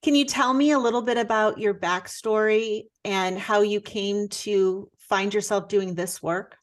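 A woman's voice: medium pitch, steady tone, a little slow. A woman who hosts a podcast and works as a coach, reading a prepared introduction and then asking her guest questions. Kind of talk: interview podcast.

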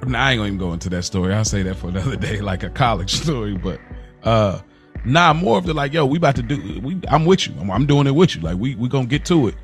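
A man's voice: mid-range voice, lively intonation, quick pace. A man co-hosting a podcast in casual, slangy chat, repeating words emphatically to make his point. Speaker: podcast co-host. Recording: music playing behind the voice. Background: background music.

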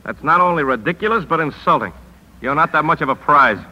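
Brusque, rapid-fire newspaper editor. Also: male voice, a little high, animated, lo-fi sound. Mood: cutting. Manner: clipped, commanding, sardonic. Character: brusque, hard-driving male newspaper editor. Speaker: actor in a radio drama. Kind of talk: 1950s radio acting. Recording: 1950s radio broadcast.

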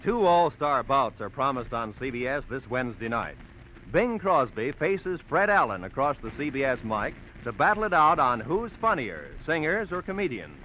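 A man's voice: mid-range voice, very animated, normal speed, pushed and bright, slight background noise, low-quality recording. An American man announcing in a polished, emphatic 1940s radio style, reading scripted promos and credits. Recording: vintage radio broadcast with music behind. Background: music.

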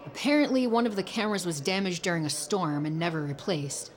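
A woman's voice: on the low side, animated, a little fast, faint music behind, close to an everyday voice. A woman playing a dry, sardonic surveillance agent in an audio drama.

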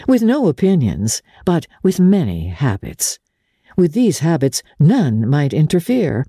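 A woman giving a dramatic reading of literary fiction as an audiobook narrator, with measured, expressive and deliberate phrasing. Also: sits low, slightly rough, dry recording, studio quality.

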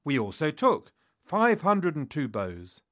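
A man's voice: on the high side, huge pitch swings, slow, fairly bright tone, heavily acted. A man playing an Egyptian royal scribe, formally reciting in a dramatic reading. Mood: proud, formal.

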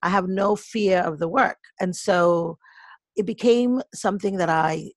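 A middle-aged woman, a coach and podcast guest, telling stories in an animated way and acting out other people's voices. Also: fairly low pitch, measured pace, no echo. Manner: animated storyteller, acts out voices. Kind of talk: conversational podcast storytelling.

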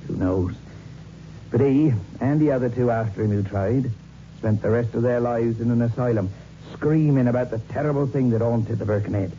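An actor playing a grave local police sergeant who tells ghost tales in a folksy, ominous manner, in 1960s radio drama style. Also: male voice, medium pitch, normal speed, dark tone, lightly acted. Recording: muffled, hissy old radio recording.

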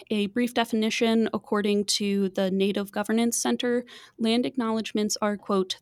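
A woman podcast co-host, reading a prepared introduction clearly and asking interview questions. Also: medium pitch, no echo, studio quality.

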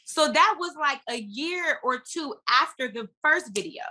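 Bright-voiced woman, informal podcast guest. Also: high-pitched, expressive, a little slow. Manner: informal, slangy. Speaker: podcast guest, actress and business owner. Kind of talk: casual chat.

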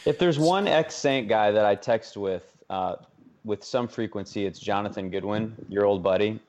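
A man's voice: fairly low pitch, moderate pace, darkish tone, lo-fi sound. A man hosting a casual sports talk interview, easing into his questions in an informal, wordy way. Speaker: sports radio host, interviewer.

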